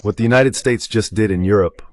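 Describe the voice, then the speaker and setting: A man's voice: on the low side, brisk, no room sound. A man giving conversational political commentary in an emphatic, repetitive, colloquial way, as a synthetic translation voice-over.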